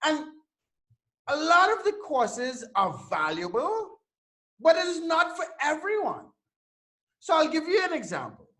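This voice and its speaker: A man in his thirties from Trinidad and Tobago with a notably high voice. He talks as an interview guest, animated and loose, dropping curses and stumbling over repeated words as he tells his story. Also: unhurried, long pauses. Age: 30s. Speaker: guest entrepreneur.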